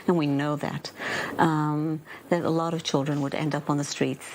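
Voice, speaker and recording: A woman head teacher answers interview questions, stopping often with fillers as she finds her words. Her voice is lowish, and a faint hiss sits behind it. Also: level tone, moderate pace, a little mellow, poor audio. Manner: hesitant, with fillers.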